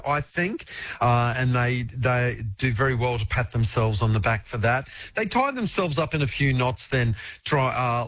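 A man's voice: medium pitch, fairly bright tone, rough recording. A man, a TV critic chatting on the radio in an enthusiastic way, with many fillers. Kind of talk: radio review chat.

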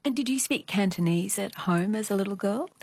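A woman's voice: mid-range voice, expressive, brisk, darkish tone, slightly rough, dry recording. A woman, asking questions as an interviewer.

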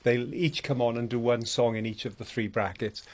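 A man's voice: mid-range voice, steady tone, moderate pace. Distant matter-of-fact man.

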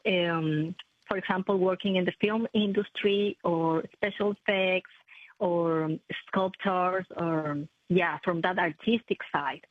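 A woman, a prosthetist answering interview questions in a halting, self-correcting way. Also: on the low side, husky, no room sound. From Ecuador. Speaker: maxillofacial prosthetist, guest. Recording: tinny phone-like line, dry.

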